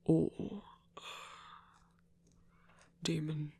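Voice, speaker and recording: A man plays a shy, smitten young hunter in an intimate audio drama. His voice is breathy and husky with some gravel, unhurried and halting, full of awkward stammers. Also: a little high, monotone, a little mellow, dry recording, full character voice. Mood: awkward, musing. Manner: hesitant, stammering, nervous little laughs. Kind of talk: intimate ASMR audio roleplay.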